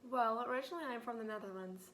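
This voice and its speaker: A woman playing a desperate, defensive female dancer turned spy in a school role-play interview, speaking quietly and hurriedly. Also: on the high side, rapid-fire, slight background noise, close to an everyday voice.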